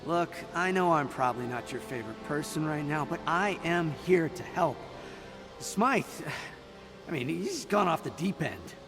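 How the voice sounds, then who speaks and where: A man's voice: on the high side, lively intonation, moderate pace, music in the background. A man voicing a defensive, earnest young executive turned ally in a video game.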